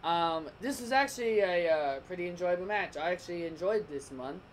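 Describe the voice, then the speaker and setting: A man giving a casual, rambling wrestling review, often restarting his sentences, his voice croaking. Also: high-pitched, lively intonation, brisk, slight background noise. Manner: rambling, restarts and corrects himself.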